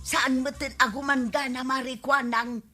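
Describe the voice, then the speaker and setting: A woman's voice: sits high, little pitch movement, normal speed, bright, forceful tone, faint music behind. A woman playing a distressed, plaintive village wife in a radio comedy drama, given to wailing exclamations. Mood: fretful.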